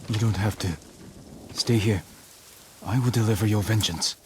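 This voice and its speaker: An actor playing a stoic, resolute noble samurai lord in a dramatic video game. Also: male voice, fairly low pitch, moderate pace, a little hiss or hum, natural voice. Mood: solemn.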